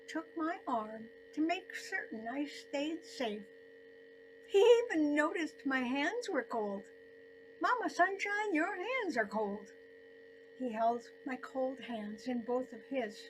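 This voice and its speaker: An elderly woman narrating her own scripted personal story in deliberate phrases with frequent pauses. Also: sits high, expressive, faint music behind, poor audio. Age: 70s or older.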